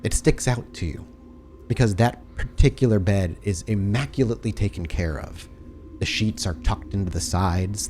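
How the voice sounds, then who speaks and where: A man narrating a tabletop horror game as storyteller, with dramatic, deliberate scene-setting. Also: low voice, a little mellow, slight background noise.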